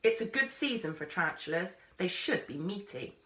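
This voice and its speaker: A woman's voice: lowish voice, sing-song, moderate pace, bright, forceful tone, far from the mic. A woman voicing a brusque, wry explorer man with a commanding, matter-of-fact manner in a storybook. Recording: roomy, echoey sound.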